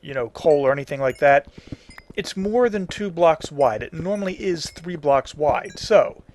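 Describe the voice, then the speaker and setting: A man's voice: fairly high pitch, animated, measured pace, darkish tone, slight background noise. A man hosting a casual video game tutorial, explaining each step in plain, folksy wording. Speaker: tutorial host.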